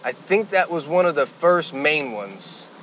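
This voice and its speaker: A man's voice: a little high, expressive, measured pace, fairly bright tone, a little hiss or hum. A man co-hosting a podcast in casual, loose chat with some mild swearing. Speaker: podcast co-host.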